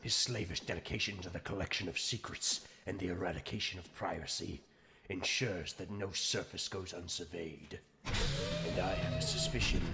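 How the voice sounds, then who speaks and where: A man playing a commanding, brooding robot warlord in a fan comic dub, with grandiose, menacing, theatrical oratory. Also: low voice. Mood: cold, menacing. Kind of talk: dramatic villain monologue and narration, fan comic dub.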